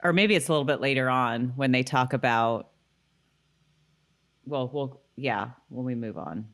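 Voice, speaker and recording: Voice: medium pitch, normal speed, a bit bright. A podcast co-host chatting casually and reading recap passages aloud in a conversational way.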